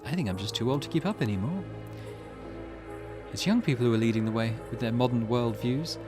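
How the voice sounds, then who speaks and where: A man's voice: medium pitch, brisk, over music, close to an everyday voice. A man voicing a weary, grieving middle-aged mother from a visual novel, reading her old-fashioned, wistful thoughts aloud. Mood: reflective.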